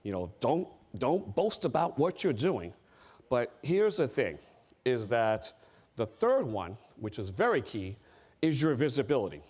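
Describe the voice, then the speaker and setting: A man's voice: mid-range voice, big pitch swings, unhurried, darkish tone, breathy edge. A middle-aged man from the United States giving an emphatic seminar talk on board service, repeating his key phrases.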